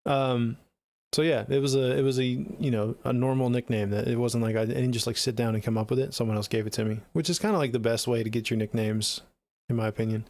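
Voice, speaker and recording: A man's voice: mid-range voice, steady tone, quick pace, a little mellow. A middle-aged man with an American accent chatting casually on a livestream in a laid-back, slangy way, close to the mic. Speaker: music production livestreamer. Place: small home studio room, indoors.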